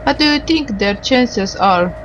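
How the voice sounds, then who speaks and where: A woman with a fairly high voice plays through a game, reading its dialogue aloud very slowly. She sounds tired and a little halting, with casual commentary. A clear rumbling noise sits behind her. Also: animated, lo-fi sound. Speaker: game streamer doing a playthrough.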